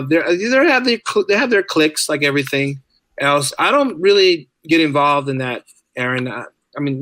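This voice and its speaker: A man's voice: on the high side, fairly bright tone, no echo. Talkative man in a dry, close recording. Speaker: podcast guest, writer and musician. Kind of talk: casual conversational interview.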